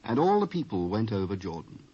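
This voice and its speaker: A British man narrating scripture from a script in a formal, measured storytelling manner. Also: lowish voice, very animated, dark tone, slightly rough. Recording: muffled old cassette.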